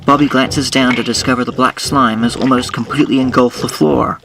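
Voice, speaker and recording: A man's voice: mid-range voice, fairly even intonation, fairly quick, gravelly, loud music behind the voice. A man narrating a scripted audio drama. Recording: noisy, distant-miked, with clicks.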